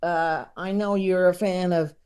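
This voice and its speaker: A woman's voice: on the low side, steady tone, measured pace, darkish tone, clear, resonant tone. A woman chatting casually as a podcast guest, often searching for her words as she goes. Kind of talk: casual chat.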